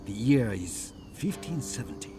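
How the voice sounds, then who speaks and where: A man's voice: medium pitch, sing-song, unhurried. A man voicing a solemn chronicler of samurai warfare in scripted historical game cutscene narration. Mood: solemn, measured. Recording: music under the voice. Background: loud background music.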